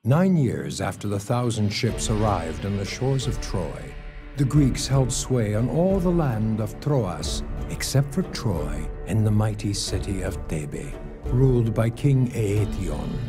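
A man's voice: lowish voice, very animated, slow pace. A man narrating an epic tale in a grave, dramatic scripted style over music.

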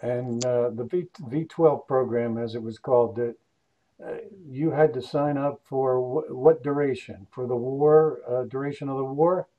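A man who runs oral history interviews, asking measured, polite questions over a video call. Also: medium pitch, fairly even intonation, dull audio. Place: Moorestown, New Jersey.

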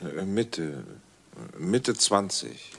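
An actor playing a subdued bookseller in a crime radio play, speaking in a measured, sometimes halting way. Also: male voice, low-pitched, sing-song, gravelly, fairly bright tone, full character voice. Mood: hesitant. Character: subdued male bookseller, witness questioned by police. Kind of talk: dramatic radio play acting.

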